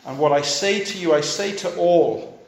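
A man's voice: high voice, normal speed, reverberant, low-quality recording. A fifty-year-old male pastor preaching a sermon, urging his listeners on in short, repeated, earnest phrases.

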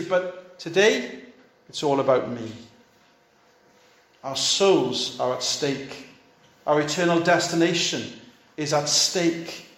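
A man's voice: fairly high pitch, reverberant. A fifty-year-old male pastor preaching a sermon, urging his listeners on in short, repeated, earnest phrases.

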